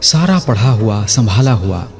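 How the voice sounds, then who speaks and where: An actor playing an aging, remorseful scholarly father in a reflective radio drama, speaking pensively with halting, dramatic pauses. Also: on the low side, sing-song, a little mellow, faint music behind. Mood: wistful, regretful. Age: older. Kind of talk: Hindi radio drama monologue, literary and reflective.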